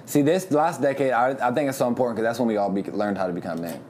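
A man's voice: mid-range voice, fast talker, a little mellow. Young man chatting, faint hiss. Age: 20s. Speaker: podcast co-host. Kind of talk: casual chat.

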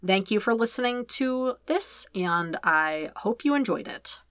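A woman's voice: mid-range voice, expressive, unhurried, a bit bright, no room sound. A woman reading podfic, giving a candid, rambling afterword with many fillers. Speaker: podfic reader. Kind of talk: casual spoken afterword.

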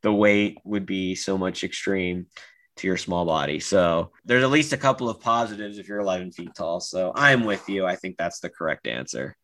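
Bright-toned low male podcast co-host. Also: normal speed. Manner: easygoing, hedging with lots of "like" and restarts. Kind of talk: casual chat.

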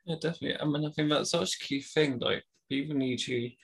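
A man's voice: a little high, fast, vocal fry, husky. A man who stammers, talking casually and openly with many fillers. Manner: stammers, talks openly about it. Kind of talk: casual conversation.

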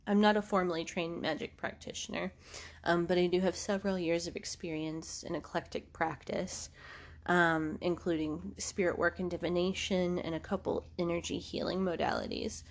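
A woman's voice: lowish voice, normal speed. A woman, hosting a podcast in a casual, rambling monologue, congested from allergies and laughing often. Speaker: podcast host.

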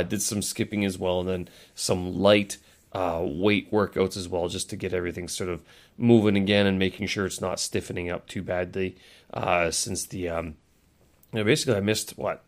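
A man with a stuffy, nasal voice from a cold, talking casually and rambling on his solo audio blog, with many fillers and self-corrections. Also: sits low.

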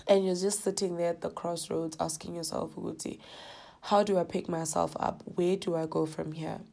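A woman with a South African accent hosting her own podcast, slightly hoarse from a coming cold, talking in an earnest, heartfelt way with lots of "you know"s. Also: on the low side, steady tone, moderate pace, mellow. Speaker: podcast host. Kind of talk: reflective personal podcast talk.

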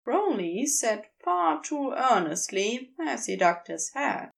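A woman's voice: a little high, sing-song, slow, vocal fry. A woman narrating scripted fiction as a storyteller.

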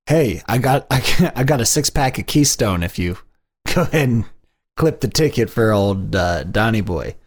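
A man's voice: on the low side, animated, moderate pace. A man co-hosting a podcast in casual chat, jokey and laughing often. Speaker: podcast co-host.

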